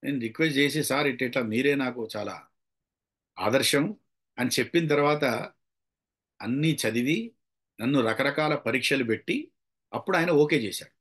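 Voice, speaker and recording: A man's voice: mid-range voice, moderate pace, long pauses, a bit bright. A middle-aged man with a Telugu accent giving an informal public speaking lecture, conversational, asking rhetorical questions and dropping in English phrases. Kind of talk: informal lecture with acted examples.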